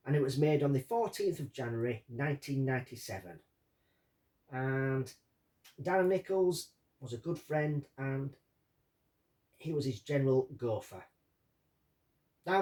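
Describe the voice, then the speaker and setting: A man reading out a witness statement and commenting on it as a true-crime host, in deliberate short phrases. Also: mid-range voice, mellow. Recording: distant from the microphone.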